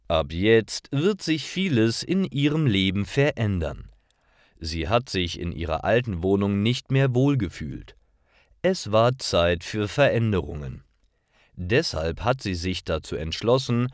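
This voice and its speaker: A man's voice: low voice, no room sound, clean studio sound. A man reads simple stories for language learners in a carefully articulated, scripted narration. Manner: simple, carefully articulated read-aloud delivery.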